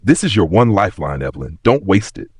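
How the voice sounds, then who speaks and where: A man voicing a calm, stern, quietly menacing airline CEO in an audio story, measured and firm. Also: deep voice, slightly rough, no echo, exaggerated voice.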